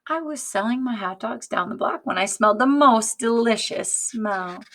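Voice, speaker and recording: A woman's voice: a little high, lively intonation, normal speed, fairly bright tone, pitched above the performer's own voice. A woman voicing a polite, hungry hot dog vendor man from a storybook, savoring his words with delight.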